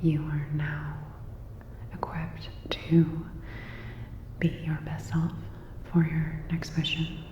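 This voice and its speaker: A woman whispering softly and gently in an ASMR sci-fi roleplay as a calm, caring robot repair technician, with a dull, reverberant sound. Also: low-pitched, slow pace, pauses often. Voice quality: soft, breathy whisper.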